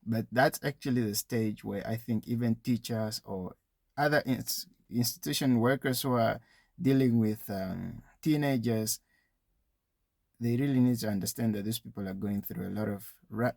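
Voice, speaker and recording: A man speaks in a conversational, explanatory way, as if advising young viewers directly. His mid-pitched voice has a noticeable creak to it. The sound is dry and close, with very little room in it, and he drops in small asides as he goes. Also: normal speed, dry recording. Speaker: speaker giving educational advice to young people. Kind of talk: informal explanatory talk addressed to viewers.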